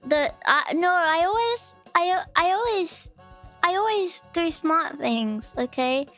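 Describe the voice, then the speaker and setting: A woman chatting casually on her live stream, with a cute, high voice, rambling and giggling through restarts and fillers. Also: expressive, slow pace, fairly bright tone. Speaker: VTuber streamer. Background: faint background music.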